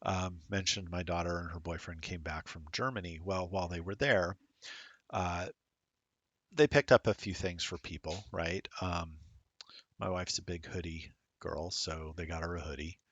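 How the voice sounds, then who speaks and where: A man's voice: low voice, steady tone, moderate pace, a bit bright, breathy edge, no echo, dull audio. A middle-aged man rambling casually while commentating a streamed tabletop game, self-deprecating and chatty.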